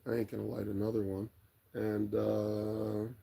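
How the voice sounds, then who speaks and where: Very raspy, gravelly man, casual livestream chat. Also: on the low side. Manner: rambling, unhurried, relaxed. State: voice tired and getting raspy late at night. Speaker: livestream host. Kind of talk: casual chat, reading viewer comments aloud.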